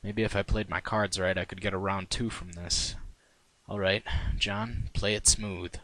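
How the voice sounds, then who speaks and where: A man's voice: lowish voice, little pitch movement, unhurried, bright, forceful tone. A man reading his own first-person fan fiction in a wry, conversational way. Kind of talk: first-person story narration.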